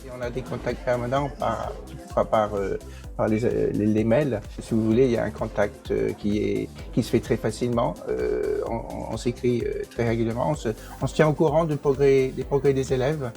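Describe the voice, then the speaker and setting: A man who is an English teacher and program director, answering interview questions with many pauses, fillers and restarts. English is his first language. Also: medium pitch, steady tone, gravelly, dark tone, faint music behind.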